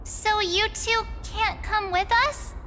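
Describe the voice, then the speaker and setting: A high, eager young traveler. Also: female voice, steady tone, slow, sharp tone, a little hiss or hum, heavily acted. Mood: curious. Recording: game cutscene with music underneath.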